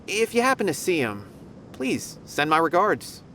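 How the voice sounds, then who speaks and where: Courteous academy officer. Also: male voice, fairly high pitch, wildly swooping pitch, unhurried, a bit bright, a little hiss or hum, full character voice. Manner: courteous, earnest reporting. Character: polite male academy officer, earnest and grateful. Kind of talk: video game voice acting. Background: game music.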